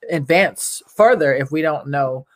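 A show host running a live interview, chiming in with steady "mm-hmm"s and "wow"s while the guest talks. Later the host turns to reading viewer greetings and comments. The voice sits at a middle pitch. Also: measured pace. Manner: affirming, frequent backchannels. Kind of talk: livestream interview.

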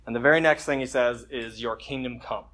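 A man's voice: mid-range voice, fairly quick, fairly bright tone. A man preaching a conversational sermon at a church service, joking casually and reading scripture with emphasis. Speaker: pastor.

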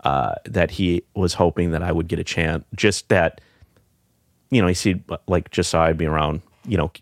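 A middle-aged man with a very low, deep voice. He talks in a reflective, halting way, circling back with fillers and restarts. The sound is close and dry, with no room around it. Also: no echo. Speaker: podcast guest. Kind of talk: casual heartfelt conversation.

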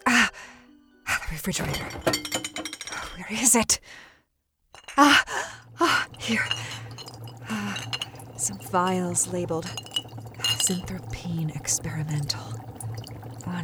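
A woman's voice: fairly low pitch, takes it slowly, pauses often. An actress playing an imperious, obsessive cosmetics queen craving youth, demanding and hissing, in a campy audio drama. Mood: desperate, searching. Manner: demanding, breathy hissing emphasis.

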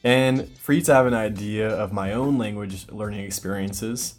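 Low-voiced American man hosting a podcast. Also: normal speed, soft background music. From United States. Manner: explains patiently, gives clear examples. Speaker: podcast host. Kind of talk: casual language-learning podcast chat. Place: lives in Brazil.

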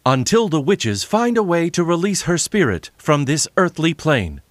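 A man's voice: a little high, very animated, moderate pace, no echo. An actor playing an over-eager, theatrical narrator of witch tales in an audio drama. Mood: grand, ominous. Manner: grandly dramatic storyteller, turning awkward. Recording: music underneath.

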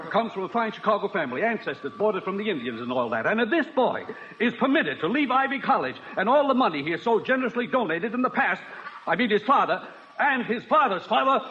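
High-pitched pompous American board chairman, sputtering and flustered, swooping intonation, 1950s radio comedy, muffled noisy recording. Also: sing-song, a little fast, sharp tone, heavily acted. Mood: blustering. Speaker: actor.

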